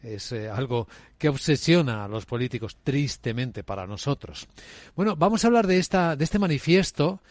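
A man hosts a radio interview from a studio, speaking in a measured, clear presenter style. His pitch is middle-range, and the sound is dry and close. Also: expressive, no echo. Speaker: radio host.

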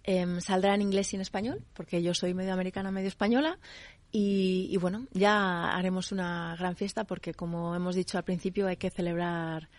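A woman's voice: on the low side, fairly even intonation, a little fast, no echo. A woman from the United States speaking earnestly and reflectively as a guest in a conversational radio interview. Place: radio studio.

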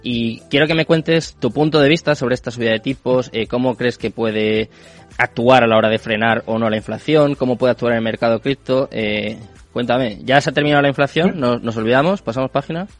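A man's voice: mid-range voice, brisk, faint music behind. A man hosting a radio show, introducing his guest in an announcer-like way and asking questions. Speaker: radio host.

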